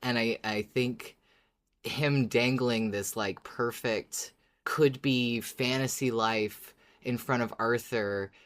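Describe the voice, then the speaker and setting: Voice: mid-range voice, fairly even intonation, takes it slowly, fairly bright tone. A podcast co-host in casual discussion, thoughtful and a little hesitant in phrasing.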